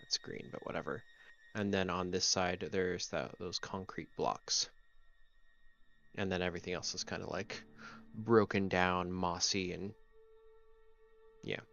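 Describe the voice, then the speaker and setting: Low, creaky-voiced man running a game. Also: steady tone, a little slow, pauses often, a bit bright. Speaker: game master. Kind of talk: narrating a tabletop game. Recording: muffled audio over background music. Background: music.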